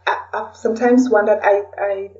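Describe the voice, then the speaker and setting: Distant, dull-sounding low-voiced woman from Kenya. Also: fairly even intonation, normal speed, darkish tone. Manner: reflective, hesitant, searching for words. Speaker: interview guest, blogger and podcaster. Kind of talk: casual conversational interview.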